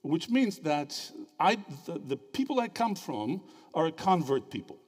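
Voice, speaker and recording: A man gives a theology lecture in a large hall, his slightly high voice rising and falling with lively, animated inflection. He keeps prodding the audience with short questions, and a long reverb trails each phrase. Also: a little slow, faint music behind. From Greece. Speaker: theology speaker at a symposium. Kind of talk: interactive lecture.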